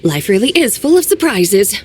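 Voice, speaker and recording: A woman's voice: mid-range voice, sing-song, normal speed, darkish tone, slight background noise, lightly acted. A woman playing an imperious, absent-minded and cranky adeptus in a video game, speaking in lofty, formal phrases. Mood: musing, absent-minded.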